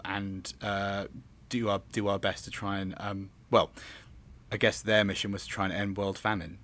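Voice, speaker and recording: A man with a low voice talks as an interview guest. He rambles and hedges as he thinks aloud, with some noticeable background noise and room ambience. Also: fairly bright tone. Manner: rambling, hedging with many 'sort of's. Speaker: guest, theatre director. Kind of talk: interview answer, conversational.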